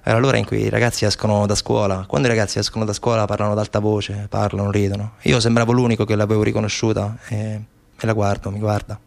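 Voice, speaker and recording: A man giving a theatrical monologue as a stage narrator, measured, with deliberate pauses and repetitions. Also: on the low side, little pitch movement, creaky. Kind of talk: theatrical monologue, storytelling and recitation. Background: instrumental music.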